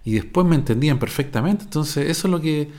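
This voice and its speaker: A man's voice: a little high, animated, fast, a little mellow. A middle-aged man from Viña del Mar with a Chilean Spanish accent, hosting his podcast in an improvised, rambling, digressive monologue full of slang and swearing. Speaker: podcast host.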